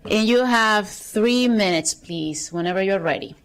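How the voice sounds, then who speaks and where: A woman chairing a county council session, reading procedural items briskly with light asides, at a desk microphone in a council chamber. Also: mid-range voice. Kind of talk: formal procedural meeting chairing.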